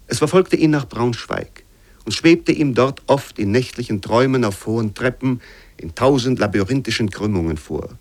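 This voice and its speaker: A man reading literary prose aloud as scripted narration, with measured, formal phrasing. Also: on the low side, dry recording. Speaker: literary reader.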